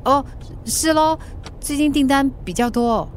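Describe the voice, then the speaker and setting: A woman's voice: high voice, unhurried, a little hiss or hum. A woman acting in a radio drama as a flustered, defensive young woman with a secret crush. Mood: awkward.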